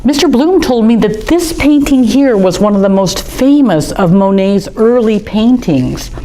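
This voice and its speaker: A woman's voice: on the high side, expressive, measured pace, warm tone. Lady reading a kids book at a museum storytime, chatty and friendly, talks right to the kids, a little faint noise behind. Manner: chatty, friendly, speaks straight to young kids. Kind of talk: reading a picture book aloud to children. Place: art museum gallery, indoors.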